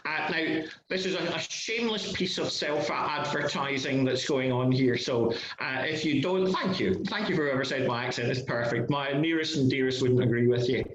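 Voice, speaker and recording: A man's voice: mid-range voice, a little hiss or hum. A middle-aged man with a mixed Scottish and Northern Irish accent, giving an academic talk over a video call in a self-deprecating, hesitant way with frequent restarts. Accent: Scottish and Northern Irish mix. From Scotland. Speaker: guest lecturer. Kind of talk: academic presentation. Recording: echoey room, dull lo-fi call audio.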